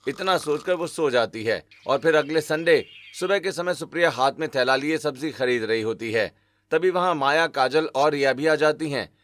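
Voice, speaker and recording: A man's voice: a little high, normal speed, a bit bright, no echo. A man narrating a scripted family moral story as a storyteller.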